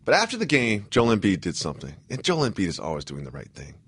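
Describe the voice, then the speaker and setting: An American man co-hosting a playful sports talk show, animated and full of rhetorical questions. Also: on the low side, fast talker, breathy edge.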